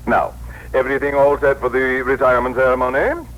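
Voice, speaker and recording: A man's voice: mid-range voice, fairly even intonation, fairly quick, fairly bright tone, natural voice. A man playing a pompous, unsentimental office boss in a radio drama, speaking precisely as if lecturing. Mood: brisk, self-satisfied. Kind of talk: 1960s radio acting. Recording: faintly noisy old radio broadcast.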